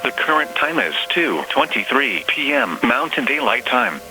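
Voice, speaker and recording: A man's voice: medium pitch, big pitch swings, normal speed, music in the background. A man reading formal, impersonal scripted announcements for an emergency radio broadcast. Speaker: weather radio and alert announcer. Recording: noisy radio broadcast with hum.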